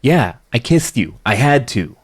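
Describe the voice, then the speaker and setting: A man's voice: mid-range voice, sing-song, a little slow, slightly rough, dry recording, studio quality. A man playing a proud villain who is secretly devoted to his rival, in an intimate, emotional audio roleplay. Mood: defensive, intense. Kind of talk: dramatic ASMR audio roleplay.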